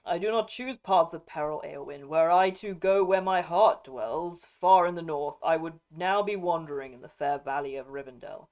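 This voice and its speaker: A woman's voice: sits low, moderate pace, few pauses, highs cut off. A woman narrator takes on a grown lord and ranger from a fantasy storybook. The delivery is stern and resolute, solemn in its old-fashioned, formal wording. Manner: solemn, firm, archaic formal phrasing. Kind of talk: dramatic audiobook character reading.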